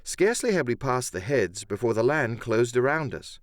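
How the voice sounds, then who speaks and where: A man's voice: medium pitch, sing-song, moderate pace, dry recording, clean studio sound. A man giving a dramatic first-person narration of an adventure story as an audiobook narrator.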